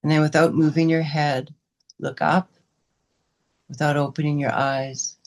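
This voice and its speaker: A woman guiding a meditation as a teacher, giving unscripted gentle instructions in short, unhurried phrases with pauses between them. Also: deep voice, little pitch movement. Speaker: meditation and yoga teacher leading a guided session.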